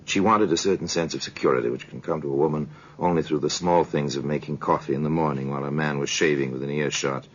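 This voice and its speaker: An actor playing a worldly, sardonic narrator of murder tales in a 1950s radio drama, speaking in a measured, ominous, theatrical way. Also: male voice, a bass voice, steady tone, slightly rough. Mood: knowing. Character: worldly, sardonic male storyteller of true murders. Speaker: narrator of a crime anthology. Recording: dull, noisy 1950s radio recording.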